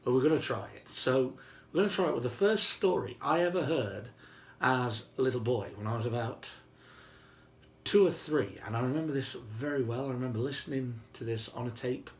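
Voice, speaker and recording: A man telling a story informally and whimsically, with dramatic pauses and emphasis. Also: medium pitch. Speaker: storyteller and video host. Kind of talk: informal improvised oral storytelling. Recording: roomy, faint background noise.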